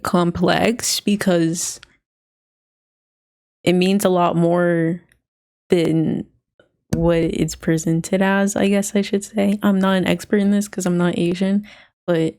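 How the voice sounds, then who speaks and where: Flat-voiced woman, chatty reaction host. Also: fairly low pitch. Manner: chatty, many likes and fillers. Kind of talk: casual commentary.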